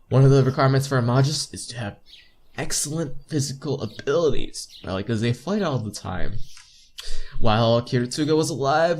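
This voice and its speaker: A young man reading visual novel text aloud as a playthrough host, informally, stumbling now and then and correcting himself, with casual asides. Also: medium pitch. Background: game music.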